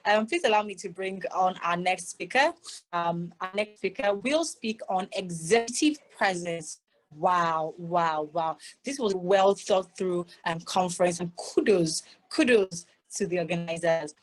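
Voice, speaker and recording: A woman's voice: lowish voice, measured pace, low-quality recording. A woman moderating an online conference, effusive as she sums up speakers and introduces the next one. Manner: effusive, enthusiastic. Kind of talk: conference hosting.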